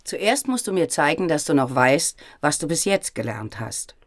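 A woman's voice: sits low, very animated, moderate pace, a crowd in the background. An actress in a German radio drama playing a learned, guarded and troubled woman disguised as a monk. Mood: patient, instructive.

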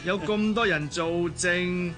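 Tender, confident Hong Kong fiancé. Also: male voice, sits high, takes it slowly, music in the background. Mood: proud, playful. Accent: Hong Kong Cantonese. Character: devoted young male fiancé, tender, confident, sweet-talking suitor. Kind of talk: Cantonese radio drama acting.

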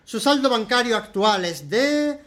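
An actor playing a menacing supermarket customer-service boss, formal and mock-courteous, in a satirical radio drama. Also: male voice, very high-pitched, expressive, a little slow, a bit bright, heavily acted. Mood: coolly menacing.

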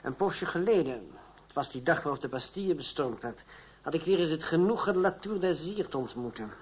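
An actor playing a proud, sardonic young French fencing master in a radio play, with a mocking manner. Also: male voice, a little high, expressive, brisk, breathy edge. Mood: cool, proud. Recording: dull, noisy old radio play recording.

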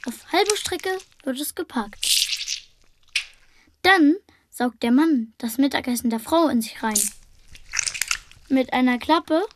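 A high-voiced performer playing an earnest young child in a radio play, reciting naively and matter-of-factly. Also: female voice, background noise. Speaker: actor in a radio drama.

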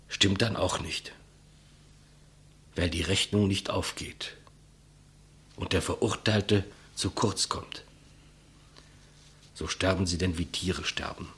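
Despised executioner, deep, breathy rasp, humble, reflective, plain-spoken, vintage radio drama. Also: male voice, sharp tone, exaggerated voice. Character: humble, despised old-school male executioner, quietly matter-of-fact. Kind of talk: 1950s German radio play acting. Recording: muffled vintage 1959 radio recording.

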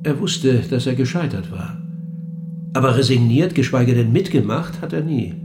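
An actor playing a cool, probing interviewer of the dead in a German radio play, speaking in a measured, dry and pointed way. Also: male voice, medium pitch, animated, close to an everyday voice.

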